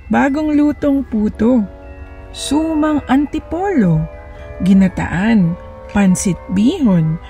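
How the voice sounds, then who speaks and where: A woman's voice: mid-range voice, mellow, husky. A woman with a Filipino accent reading a children's storybook aloud as the storyteller.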